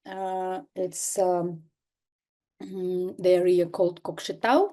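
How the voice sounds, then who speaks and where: A young adult woman from northern Kazakhstan, a native Kazakh speaker, giving a seminar lecture over a video call, halting with many fillers and restarts. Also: on the low side, level tone, warm tone. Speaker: guest lecturer, artist. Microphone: computer mic on video call.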